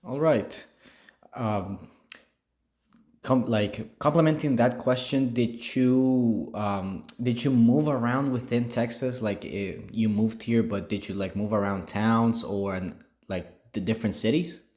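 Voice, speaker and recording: A man's voice: medium pitch, takes it slowly, darkish tone. A young man interviewing for a class project, casual, with frequent likes and restarts. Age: young adult. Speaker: student interviewer.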